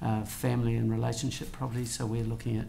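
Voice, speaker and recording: A man's voice: mid-range voice, flat delivery, fast, gravelly, mellow, a little hiss or hum. A man from New Zealand speaking on a conference panel, hesitant with many uhs. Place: conference room with audience. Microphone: table microphone.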